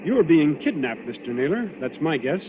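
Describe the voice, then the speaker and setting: An actor playing a resolute, level-headed young naval commander in 1940s radio drama style. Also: male voice, fairly high pitch, sing-song, normal speed, background noise. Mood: grave, firm. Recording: tinny old radio broadcast, music behind.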